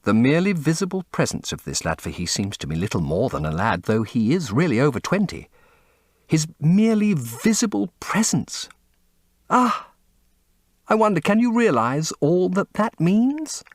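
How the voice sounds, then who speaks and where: A man's voice: fairly high pitch, big pitch swings, normal speed, no room sound, clean studio sound, voice pushed up. A man voicing an earnest, intense painter who speaks in an impassioned, confessional way, as dramatic audiobook acting. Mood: rapturous. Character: earnest, intense male painter, devoted and anguished.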